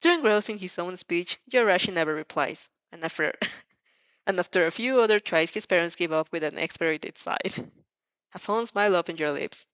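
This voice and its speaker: A woman's voice: on the low side, brisk, a bit bright, no room sound, muffled recording. A woman reading a fan fiction story aloud with casual asides, giggly and often stumbling over her words.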